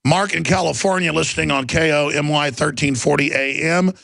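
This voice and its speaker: A man's voice: a little high, fairly even intonation, a little fast, vocal fry, a bit bright, no room sound, clean studio sound. A man with a Texas accent hosting a combative call-in radio show, ranting indignantly and restarting his sentences. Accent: Texan. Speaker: radio talk show host.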